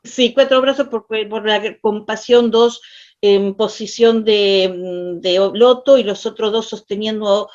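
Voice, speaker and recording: A woman's voice: medium pitch, steady tone, takes it slowly, a little mellow. Argentine woman, chatty and hesitant, muffled call audio. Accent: Argentine Spanish. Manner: rambling, hesitant, searches for words. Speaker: participant in online Dharma session. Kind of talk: casual conversation, asking questions. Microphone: video call. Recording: dull lo-fi video call audio.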